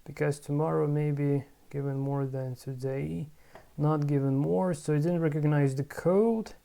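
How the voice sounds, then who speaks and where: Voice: mid-range voice, measured pace, gravelly. A language learner in a casual vlog, repeating words over and over, correcting themself and muttering. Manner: muttering to self, bursts of excitement. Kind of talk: casual pronunciation practice vlog.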